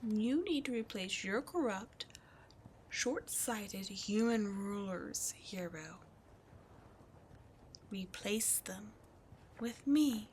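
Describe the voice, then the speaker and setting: A woman plays an imperious demon queen in an ASMR roleplay. She is cruel and seductive, drawing out her words very slowly in a medium-pitched voice that often slips toward a breathy whisper. Clear background noise sits behind her. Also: lightly acted. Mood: menacing, coaxing. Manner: slow, deliberate, haughty, often breathy and whispered. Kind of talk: ASMR roleplay villain monologue.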